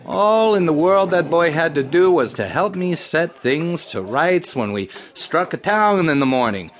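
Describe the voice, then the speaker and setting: An actor playing a wheedling, folksy circus candy seller in an audio drama, with a self-justifying, rustic way of talking. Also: male voice, fairly high pitch, fairly bright tone, slight background noise.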